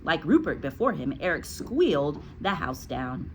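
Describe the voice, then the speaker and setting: A woman's voice: low-pitched, very animated, unhurried, slight background noise. A woman teacher reading a storybook aloud to a class, with explanatory asides.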